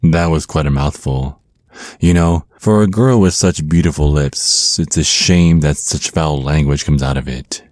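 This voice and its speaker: Male actor as menacing, teasing vampire naga overlord for ASMR roleplay: extremely deep, unhurried, flirtatiously smug. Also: a little mellow, no echo. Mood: teasing, threatening. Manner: smug, teasing, slow deliberate menace with drawn-out words.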